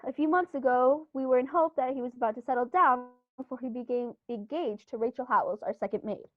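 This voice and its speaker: A genteel, emphatic country gentleman, played by a woman in a theatrical old radio drama style. The voice is high, and the recording is close and dry with a muffled top end. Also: fast, dry recording, lightly acted. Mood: confiding. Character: cordial, indignant upper-class English country squire, male. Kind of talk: 1930s-style radio play acting.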